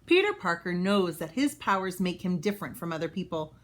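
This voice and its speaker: Animated woman reading a children's book aloud. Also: on the low side, brisk. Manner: expressive, with explanatory asides for young listeners. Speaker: read-aloud storyteller.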